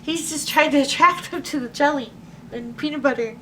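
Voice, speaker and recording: A woman's voice: high-pitched, fairly quick, fairly bright tone. A woman librarian hosting a children's story time, reading a picture book expressively with little asides to young listeners. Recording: distant mic with faint background noise.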